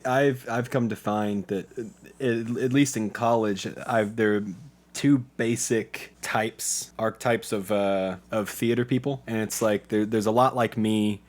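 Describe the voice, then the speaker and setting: A man's voice: on the low side, unhurried. Young man swapping stories casually. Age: young adult. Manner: animated storyteller, re-enacting dialogue in quotes. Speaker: podcast guest, student actor. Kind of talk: casual anecdotal interview chat.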